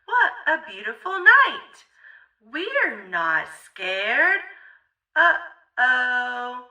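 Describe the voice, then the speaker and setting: A woman's voice: sits high, big pitch swings, extremely slow, pushed and bright, recorded from a distance, rough recording. A woman reading a children's story aloud theatrically, in a sing-song, rhythmic way with exaggerated expression.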